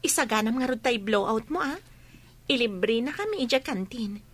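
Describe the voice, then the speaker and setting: A woman's voice: on the high side, very animated, a little slow, fairly bright tone. A woman with an Ilocano accent playing a chatty, admiring young student in an audio drama. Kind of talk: Ilocano radio drama acting.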